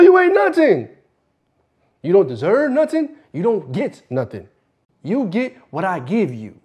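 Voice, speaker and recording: A man playing a brash, bullying older strip club boss in an acted film monologue, streetwise and repetitive, with drawn-out emphasis. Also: high voice, a little mellow, slightly rough, pitched above the performer's own voice, exaggerated voice. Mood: angry, domineering.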